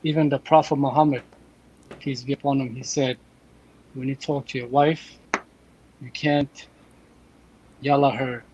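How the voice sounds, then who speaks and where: A man's voice: medium pitch, steady tone, unhurried, long pauses, a little mellow. A man in his thirties from Afghanistan, a guest telling earnest stories over a remote call. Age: 30s. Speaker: podcast guest. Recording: dull remote call audio with faint noise.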